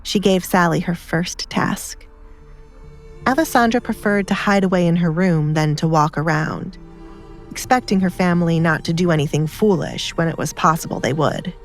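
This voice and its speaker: A woman's voice: fairly low pitch, normal speed. Woman narrating an audiobook over music. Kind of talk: scripted story narration. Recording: music behind the voice.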